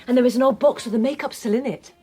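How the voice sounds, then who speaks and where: An actress playing a tender, frank lover and rebel in a radio drama. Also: female voice, fairly high pitch, racing, warm tone, lightly acted. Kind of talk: British radio drama acting.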